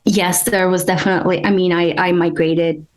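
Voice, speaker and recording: A woman from Turkey, a guest in an interview, speaking hesitantly and thoughtfully with many pauses and ums. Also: fairly low pitch, steady tone. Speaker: guest, energy healer. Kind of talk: casual interview talk.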